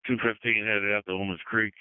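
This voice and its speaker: A man's voice: on the low side, flat delivery, brisk, clear, resonant tone, dry recording. A man driving a road crew truck, calling in his status over two-way radio. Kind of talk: two-way radio check-ins. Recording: tinny narrowband radio transmission.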